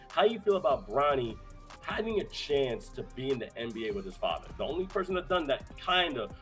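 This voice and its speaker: A young adult man hosting a live streamed show, hyped and energetic, his voice hoarse from talking so much. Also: mid-range voice. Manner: energetic, shouting out viewers in chat. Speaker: host.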